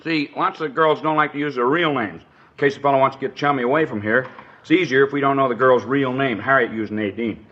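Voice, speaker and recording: A man's voice: medium pitch, steady tone, a little fast, natural voice. A man playing a chatty, nervous dance hall proprietor with rambling, slangy speech in an old radio drama. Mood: offhand. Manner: rambling, folksy, ungrammatical slang. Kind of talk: 1950s radio drama acting, naturalistic. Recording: dull old radio recording.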